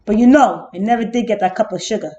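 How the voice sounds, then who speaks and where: A woman's voice: medium pitch, fast talker, mellow, voice pushed down, lightly acted. A woman voicing a self-justifying, slyly innocent male wolf who tells his side of a children's story with chatty, dramatic indignation. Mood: aggrieved, pleading. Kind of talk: first-person children's storybook narration.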